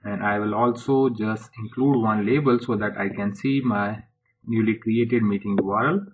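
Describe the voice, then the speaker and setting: Male screencast tutor, lowish pitch, informal halting step-by-step delivery, slightly rough noisy recording with clicks. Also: steady tone. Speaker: software tutorial presenter.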